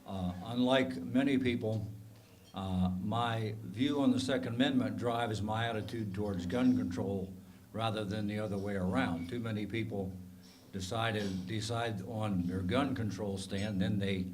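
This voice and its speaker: A man in his sixties with a bad cold, a retired professor giving a measured, methodical lecture to a club meeting, with frequent ums and uhs. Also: on the low side, darkish tone, slight background noise. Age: 60s. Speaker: guest speaker, retired professor.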